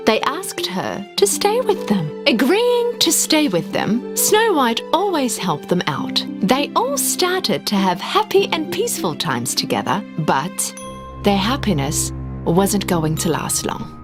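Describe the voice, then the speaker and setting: A woman narrating a scripted children's fairy tale in an expressive storytelling manner. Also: mid-range voice, slow, music in the background.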